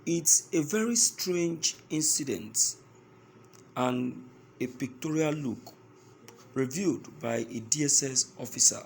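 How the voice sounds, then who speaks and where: Slow, emphatic Nigerian male news commentator. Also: a little high, expressive, slight background noise. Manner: halting, emphatic, repeats phrases. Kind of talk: news commentary addressed to listeners.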